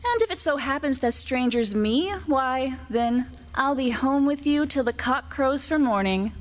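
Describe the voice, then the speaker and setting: A woman's voice: sits high, animated, a little slow, long reverb tail. Seductive, teasing witch. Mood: tender, solemn. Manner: coaxing, archaic storybook phrasing. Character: seductive, teasing immortal witch, promising riches and eternal life. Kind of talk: old-time radio drama acting. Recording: staged radio play with music.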